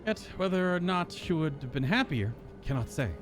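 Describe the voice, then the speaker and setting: Thoughtful game character read by a man, formal, measured, earnest, background music. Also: sits high, expressive. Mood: thoughtful, solemn. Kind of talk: reading quest dialogue aloud.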